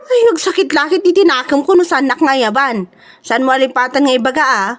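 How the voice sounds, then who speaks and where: Comedy audio drama: envious gossip played by a woman, high, whiny, exclamatory, Ilocano-accented. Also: a little fast, lightly acted. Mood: eager gossip. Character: nosy, envious, grumbling younger female neighbour.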